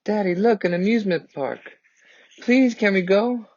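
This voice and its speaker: A man voicing an excited, pleading little girl in a children's storybook read-aloud. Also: high-pitched, normal speed, lo-fi sound, pitched above the performer's own voice.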